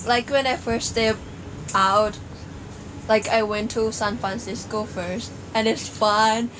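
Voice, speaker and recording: A woman's voice: a little high, steady tone, takes it slowly. A teenage girl from Thailand with a Thai accent, speaking simple English in a giggly casual chat outdoors. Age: teens. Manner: giggly, simple phrasing, cheerful cheers. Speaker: exchange student vlogger. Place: outdoor porch by woods. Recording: outdoor ambience noise.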